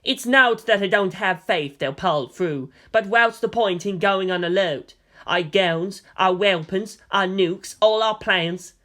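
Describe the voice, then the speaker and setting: A man's voice: sits high, unhurried, bright, forceful tone. A man voicing a despairing army colonel, bitter and weary, with emphatic delivery.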